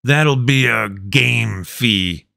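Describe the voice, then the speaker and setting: A man voicing a greedy, petty patrol soldier who talks gruffly and impatiently. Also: mid-range voice, extremely slow, pushed and bright, dry recording, clean studio sound, exaggerated voice.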